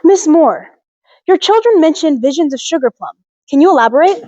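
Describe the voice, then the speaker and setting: Curious, formal TV interviewer played by a woman, high-pitched, easily impressed, close and dull sound. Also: animated, normal speed, warm tone, no echo. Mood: formal, inquiring. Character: inquisitive, amazed news interviewer questioning a family.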